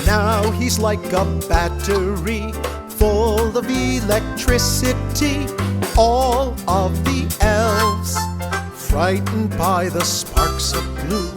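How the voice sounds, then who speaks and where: A woman sings a children's country story song at an unhurried pace. Happy music plays loudly along with her. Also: mid-range voice. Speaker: singer. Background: loud country, happy music.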